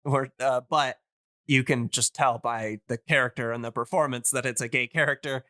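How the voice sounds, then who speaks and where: A man's voice: mid-range voice, steady tone, moderate pace, bright, forceful tone, no echo, studio quality. A man in a casual podcast chat, explaining things enthusiastically and in a discursive, meandering way.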